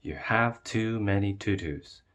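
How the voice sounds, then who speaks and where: A man voicing a practical, gently insistent mother in a children's story, in a pointed, matter-of-fact way. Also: fairly low pitch, measured pace.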